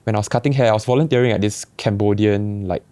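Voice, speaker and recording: A young man with a Singaporean accent, telling his story in a relaxed, reflective way with many restarts. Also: on the low side, fast, no echo. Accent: Singaporean English. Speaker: barber guest. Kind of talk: casual podcast chat.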